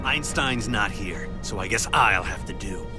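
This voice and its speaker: A man with an American accent playing a sardonic, forceful superhero from the future in a video game. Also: fairly low pitch, lively intonation, measured pace. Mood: grim resolve. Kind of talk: video game cutscene acting. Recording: loud music behind the voice.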